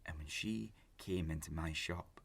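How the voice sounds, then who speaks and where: Gravelly, low-voiced obsessive lovesick man. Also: exaggerated voice. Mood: subdued, earnest. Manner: intense, halting, self-justifying. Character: obsessive, pleading, lovesick lonely man on a date. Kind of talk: naturalistic audio drama acting.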